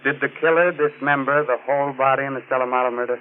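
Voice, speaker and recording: An actor playing a grave, haunted male sleuth in a 1940s radio mystery, with deliberate, suspenseful delivery. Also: mid-range voice, steady tone, lightly acted. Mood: urgent. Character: grave, haunted male amateur sleuth telling his own story. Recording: noisy, tinny 1940s radio recording.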